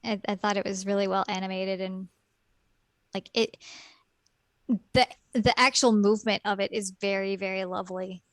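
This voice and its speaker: A woman's voice: medium pitch, flat delivery, normal speed, no echo, studio quality. A woman co-hosting a casual podcast chat, rambling and giggly with lots of fillers. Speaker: podcast co-host.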